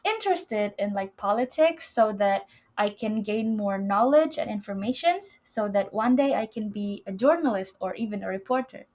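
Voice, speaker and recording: A woman's voice: medium pitch, lively intonation, moderate pace, darkish tone. A young woman from Indonesia co-hosting a student podcast, speaking English as an in an earnest, slightly way. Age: young adult. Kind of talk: casual scripted chat.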